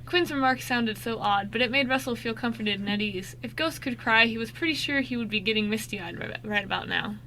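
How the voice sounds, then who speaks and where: Bright high-voiced woman narrator. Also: a little fast, hardly any pauses, a little hiss or hum, low-quality recording. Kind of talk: amateur scripted radio drama narration.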